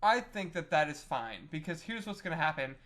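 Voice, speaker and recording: Male podcast co-host, slightly high voice, casual delivery of video game news. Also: big pitch swings, brisk, a bit bright. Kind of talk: casual gaming news discussion.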